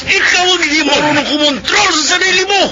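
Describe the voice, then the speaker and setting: Radio drama role: very high-pitched Filipino gambler, defensive, shouting, muffled recording. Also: male voice, fast talker, over a noisy crowd, heavy background noise. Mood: defensive, panicked. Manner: shouting, agitated. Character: shrill, defensive gambling companion being blamed. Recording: dull lo-fi audio.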